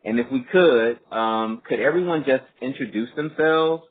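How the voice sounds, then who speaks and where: A man hosting a group phone call, talking casually and politely in a halting, explanatory way. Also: medium pitch. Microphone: telephone conference line. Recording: muffled phone line with clear noise, faint.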